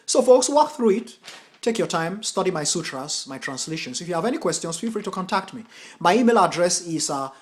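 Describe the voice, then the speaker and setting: A man with a fairly high-pitched voice reads verses of scripture, pushing hard on key phrases. Between readings he turns loose and informal as he talks teaching to his viewers, calling them folks. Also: big pitch swings, a little fast. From United States. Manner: declaims verses with forceful emphasis, then talks casually, addressing viewers as folks. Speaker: Buddhist translator and teacher.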